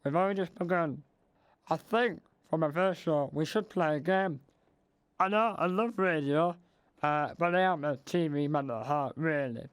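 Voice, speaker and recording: A man playing a bumbling older male local radio DJ, excitable and flustered, tripping over his words while losing his voice. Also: on the high side, normal speed, clean tone, no room sound, lightly acted. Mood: flustered cheery. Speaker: radio host. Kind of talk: comedic spoof radio show.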